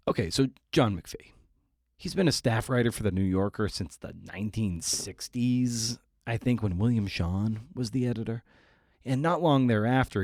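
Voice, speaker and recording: A man's voice: mid-range voice, darkish tone, no echo, studio quality. A man hosting a podcast, delivering an informal intro monologue with emphatic phrasing and playful asides. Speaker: podcast host.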